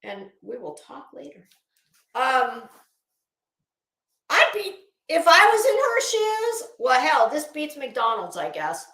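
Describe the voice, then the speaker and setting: A woman's voice: high-pitched, huge pitch swings, slow, pauses often, bright, forceful tone, roomy sound. A woman doing casual livestream commentary as host, blunt, salty and emphatic, with a disdainful edge.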